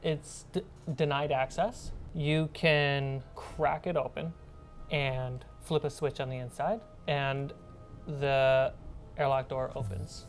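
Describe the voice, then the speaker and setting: A woman with a low voice narrates a tabletop role-playing game as its game master. She speaks informally and conversationally. Faint music plays beneath her. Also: fairly even intonation, takes it slowly.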